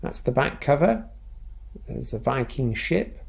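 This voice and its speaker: Slow-paced man reading a children's storybook. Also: mid-range voice, creaky. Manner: expressive, playful delivery for young children. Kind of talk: animated read-aloud storytelling with asides.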